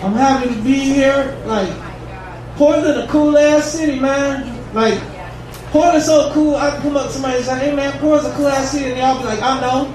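Guy in his 30s doing loose cussing standup, kinda high voice, roomy bar sound from far off. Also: steady tone, a little fast, a crowd in the background. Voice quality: casual, profane, drawling delivery. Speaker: stand-up comedian. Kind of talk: stand-up set at an open mic. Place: bar comedy room. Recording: echoey bar room, distant and noisy. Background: audience ambience.

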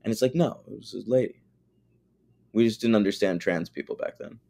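Profane, animated podcast co-host man. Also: on the low side, a little fast, creaky. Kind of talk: casual podcast chat.

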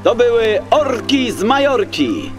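A man voicing an excited male dolphin presenting a contest in a children's audiobook, in a showy announcer style. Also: extremely high pitch, takes it slowly, music in the background, exaggerated voice. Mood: showy delight.

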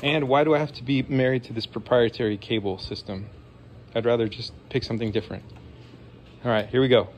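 A man's voice: mid-range voice, fairly even intonation, brisk, slight background noise. A man in his forties with a sniffly nose, chatting casually and enthusiastically while taking apart a console at his home desk, with rambling apologetic asides. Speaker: vlogger modding a game console. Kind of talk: casual hands-on tech talk.

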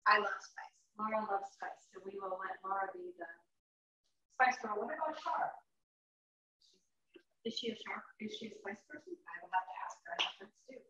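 A woman hosting a live online cooking class over a video call, chatty and playful with quick asides. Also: medium pitch, lively intonation, bright, forceful tone, husky, dull audio. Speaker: class host.

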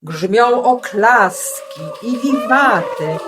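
A woman narrating a rhymed children's story with theatrical, deliberate, emphatic phrasing on a noisy amateur recording. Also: fairly high pitch, lively intonation, a bit bright. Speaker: storyteller in a community radio play.

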